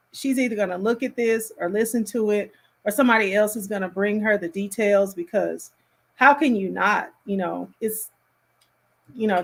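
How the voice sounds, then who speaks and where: A woman hosting a casual reaction commentary, speaking in an appalled, emphatic and halting way. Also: mid-range voice.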